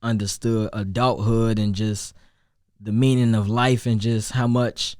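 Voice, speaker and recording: A young man from Chicago speaks with a deep voice and a Chicago accent. His talk is loose and rambling, full of restarts and fillers. The room sounds dry and close. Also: little pitch movement, unhurried, a little mellow, dry recording. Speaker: rapper and singer, guest. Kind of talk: casual interview chat.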